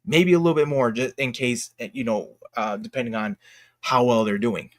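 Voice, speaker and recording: A man giving casual, opinionated livestream commentary in a blunt, emphatic way, haltingly repeating his phrases for emphasis. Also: medium pitch, steady tone, no room sound, clean studio sound. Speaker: streamer and commentator.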